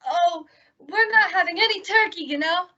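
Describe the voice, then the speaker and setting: A woman's voice: very high-pitched, normal speed, dull audio, heavy background noise. A woman playing a sweet, naive, dim-witted young woman in an old-time radio sitcom, guileless and childlike. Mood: excited, guileless.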